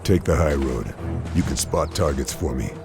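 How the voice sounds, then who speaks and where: A man playing a gruff, terse, hardened army sniper in a video game, speaking in a measured deadpan. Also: rumbling low, exaggerated voice. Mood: curt. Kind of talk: scripted game narration and cutscene dialogue. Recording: music behind the voice.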